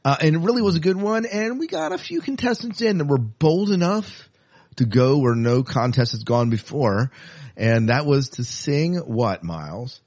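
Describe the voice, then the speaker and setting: A man's voice: a little high, big pitch swings, normal speed, a little mellow, dry recording. A man hosting a podcast in a casual, chatty and enthusiastic way. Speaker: podcast host.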